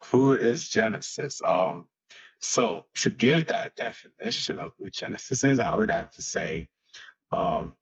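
A man from Haiti in his twenties, a guest musician speaking haltingly and searching for words in a casual interview. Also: fairly low pitch, expressive, breathy edge. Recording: dull lo-fi sound.

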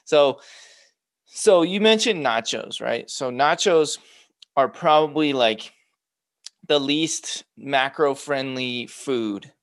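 A man's voice: medium pitch, lively intonation, takes it slowly, no room sound. Rambling man host, dry close sound. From Los Angeles. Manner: rambling, restarts questions, enthusiastic. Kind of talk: casual interview conversation.

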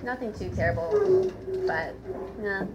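A woman's voice: on the high side, very animated, a little slow, gravelly, lo-fi sound, loud music behind the voice. A woman guest telling anecdotes in casual, sweary, sardonic chat. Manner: sweary, sardonic, hesitant phrasing with frequent "like". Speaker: podcast guest. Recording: crowd chatter behind voice.

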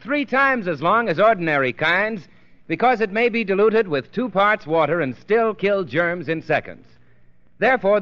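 A male announcer with a fairly high voice delivers a polished, persuasive sales read in 1930s radio style. The sound is dull and muffled, like an old broadcast. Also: bright, forceful tone.

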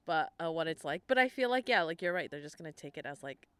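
A young woman in casual podcast chat, chatty and animated, laughing often. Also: low voice, quick pace, clean tone, no room sound. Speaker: podcast co-host.

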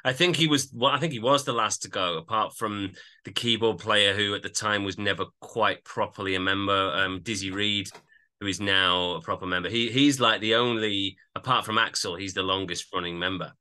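Very bright, lowish-voiced man chatting. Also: normal speed. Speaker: music podcast co-host. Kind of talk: casual album discussion.

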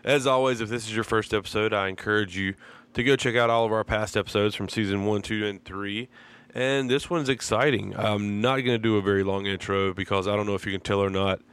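A man's voice: fairly low pitch, fairly even intonation, fairly quick, fairly bright tone, clean tone. A man hosting a podcast, his voice froggy and congested from a sinus infection, talking in a laid-back, rambling way. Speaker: podcast host. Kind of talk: casual podcast intro and chat.

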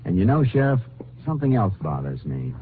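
Lawman narrator for old radio crime serial: low-pitched, steady, matter-of-fact, on a narrow, faintly noisy vintage recording. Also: brisk. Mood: probing, firm. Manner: clipped, matter-of-fact, authoritative. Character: steady, methodical male Texas Ranger investigator and narrator. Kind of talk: 1950s radio crime drama acting and narration.

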